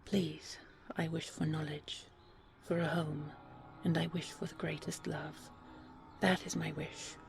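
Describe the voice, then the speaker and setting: A woman's voice: sits low, level tone, slow pace, creaky, slight background noise, heavily acted. A woman voicing a humble, pure-hearted young human in a storybook tale, earnest, polite and pleading. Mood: pained, desperate.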